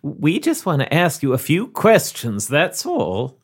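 A sly double agent, put on by a man, talks smugly, and his pitch leaps wildly from line to line. His voice is a little husky. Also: huge pitch swings, unhurried, full character voice. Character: treacherous male double agent sidekick.

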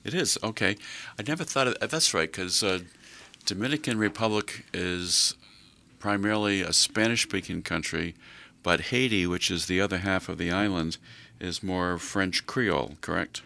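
Deep gravelly older male radio host. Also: pushed and bright. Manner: slow, folksy, with many fillers. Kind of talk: casual radio talk.

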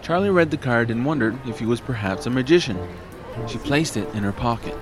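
A man's voice: medium pitch, animated, fairly quick, music in the background. A man narrating a scripted comedy audio drama in a wry, dramatic storytelling manner.

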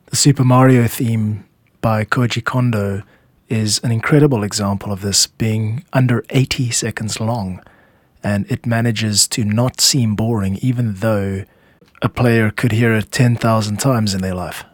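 A man's voice: lowish voice, fairly even intonation, a little slow, dry recording. A man narrating a scripted podcast as its host, explaining how music is made.

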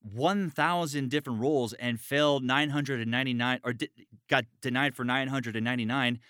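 Casting: male podcast host and voice actor, lower-pitched, forceful and bright, enthusiastic, freely swearing, informal. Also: steady tone, fairly quick, clean tone, dry recording, clean studio sound. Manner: enthusiastic, profane, encouraging. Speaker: interview show host and voice actor. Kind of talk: casual chat about voice acting.

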